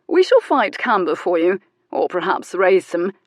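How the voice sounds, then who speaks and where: A woman's voice: on the low side, very animated, a little slow, no echo, clean studio sound. Woman voicing a showy young lord. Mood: boastful.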